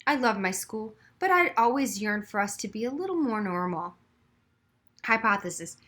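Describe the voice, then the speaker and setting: A woman reading a children's novel aloud as narrator, with expressive storytelling delivery. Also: medium pitch, normal speed. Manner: narrates expressively, acting out dialogue. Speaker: audiobook reader.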